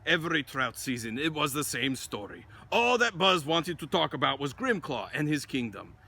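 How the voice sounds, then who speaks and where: Animated man reading a children's story. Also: on the high side, normal speed, husky. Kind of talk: expressive children's story narration.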